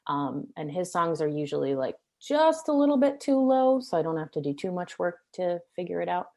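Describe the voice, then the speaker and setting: A woman's voice: on the low side, big pitch swings, moderate pace, a little mellow. A young woman, a singer-songwriter, chatting casually in an interview, rambling with many fillers.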